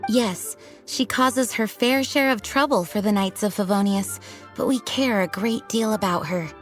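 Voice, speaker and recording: An actress playing a composed, dutiful woman knight commander in a video game, measured and formal but caring. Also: medium pitch, lightly acted. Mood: caring, resigned. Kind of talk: animated video game voice acting. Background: light background music.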